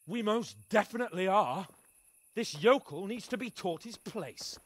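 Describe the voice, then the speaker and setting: A man's voice: high-pitched, lively intonation, moderate pace, no room sound. An actor playing an arrogant, hot-tempered young nobleman in a video game, with a haughty, sneering drawl. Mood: indignant. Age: young adult. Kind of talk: dramatic video game acting.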